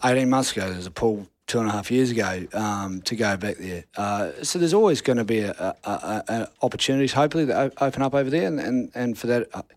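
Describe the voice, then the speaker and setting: A man's voice: medium pitch, expressive, fast talker, hardly any pauses, no echo. A middle-aged man with a New Zealand accent, a rugby coach answering interview questions frankly, with many restarts. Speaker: rugby assistant coach guest.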